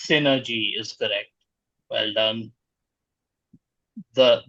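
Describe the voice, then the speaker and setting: A man hosting a quiz, reading out questions in a formal, measured way. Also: medium pitch, fairly bright tone, no echo, lo-fi sound.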